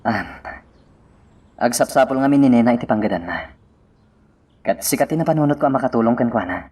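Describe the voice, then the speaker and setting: A man's voice: medium pitch, lively intonation, a little fast, a little mellow, slightly rough. A man playing a helpful, reassuring young man in a romantic radio drama, with an Ilocano accent. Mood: earnest.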